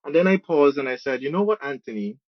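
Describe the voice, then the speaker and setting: A man's voice: a little high, lively intonation, fast talker, dry recording, dull audio. A man from Trinidad and Tobago with a Trinidadian accent, speaking conversationally as an interview guest. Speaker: podcast guest, program manager and musician.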